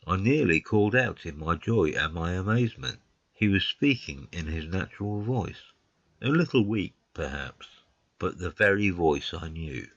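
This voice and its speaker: An actor playing a detective's loyal friend, narrating a mystery story in the first person. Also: male voice, sits low, animated, normal speed, gravelly, fairly bright tone, dry recording, highs cut off, exaggerated voice. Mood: earnest, hushed.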